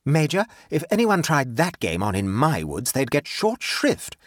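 A man's voice: fairly high pitch, wildly swooping pitch, a little slow, no room sound, studio quality, voice pushed up, heavily acted. An imperious English aunt of middle age, put on by a male reader, with a raised voice and swooping intonation. She gives orders with heavy self-satisfaction, as a storybook lady of the house. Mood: haughty. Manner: haughty, heavily satisfied, instructing.

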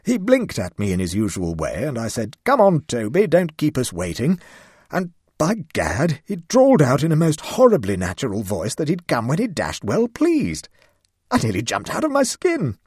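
A flustered gentleman of a country house gasps out his story, pitch shooting up, as a man reads him. The delivery is agitated and husky, with a dry close sound. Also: huge pitch swings, moderate pace, no room sound. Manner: breathless, agitated. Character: excitable older English gentleman, flustered.

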